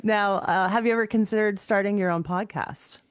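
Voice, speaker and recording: A woman's voice: mid-range voice, fairly even intonation, normal speed, smooth, no echo. A woman with a Canadian accent hosting a podcast and asking questions in a casual interview style.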